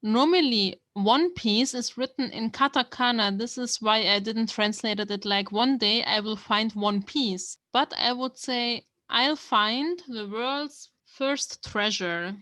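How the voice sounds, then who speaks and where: A woman from Germany, hosting a language channel and slowly translating manga lines word by word. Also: fairly high pitch, a bit bright, dry recording. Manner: slow, careful explanation of words. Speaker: language-learning channel host. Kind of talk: reading and translating manga line by line.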